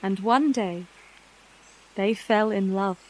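A woman narrator reading a scripted fantasy tale in a measured, literary, wryly understated manner. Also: mid-range voice, poor audio.

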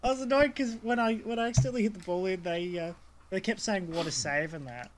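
A man's voice: sits high, fast, noisy background. A young man co-hosting a podcast, cracking jokes and teasing his co-host in casual chat. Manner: joking, teasing interjections.

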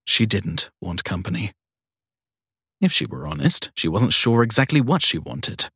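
A man's voice: medium pitch, sing-song, a little slow, vocal fry, pushed and bright, breathy edge, no room sound, clean studio sound. A man narrating a crime story in a scripted audiobook style.

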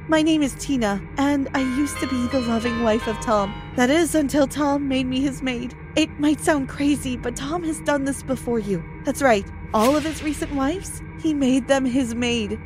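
Woman putting on a scared young wife whispering a warning, higher and quiet, music behind. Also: natural voice, poor audio. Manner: soft urgent whispery warning. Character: trapped young wife recording a hushed warning.

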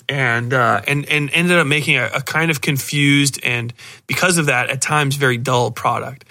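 A man hosting a podcast, giving a casual, rambling, animated review and swearing freely. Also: mid-range voice. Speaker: podcast host.

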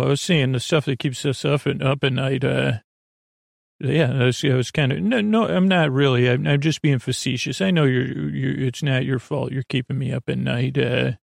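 A man hosting a bedtime podcast in a creaky voice, meandering gently through silly tangents and halting self-corrections. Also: medium pitch, fairly even intonation, no room sound, clean studio sound. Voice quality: creaky, gravelly. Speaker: podcast host. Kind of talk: rambling, soothing bedtime monologue.

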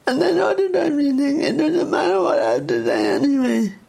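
A woman in her thirties with a Dublin accent and a speech impediment, talking slowly and effortfully. She speaks candidly and reflectively in a documentary interview. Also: high voice, sing-song, creaky, warm tone. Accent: Dublin Irish. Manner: candid, reflective, emotionally open.

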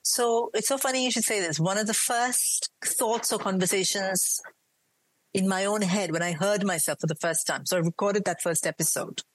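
A woman's voice: medium pitch, moderate pace, dry recording, rough recording. A woman from India with an Indian accent, speaking earnestly and fluidly as a podcaster guest in an interview. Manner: earnest, flowing, emphatic. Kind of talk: reflective interview conversation.

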